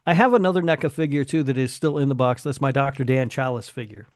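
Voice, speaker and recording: A man's voice: medium pitch, fast talker, no echo, studio quality. A man chatting casually as a podcast co-host, speaking bluntly with some casual swearing. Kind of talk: casual chat.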